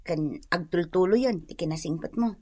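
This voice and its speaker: A woman's voice: on the low side, moderate pace, a little mellow, breathy edge. An actress playing a self-assured, teasing young single woman in a comedy radio drama, speaking with an Ilocano accent. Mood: cool, scolding.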